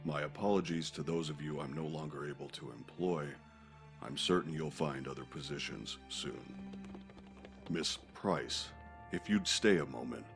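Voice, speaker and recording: A man playing an imperious, bitter senator in an audio comic, gravelly and stern, speaking formally. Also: extremely low pitch, moderate pace. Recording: music behind the voice.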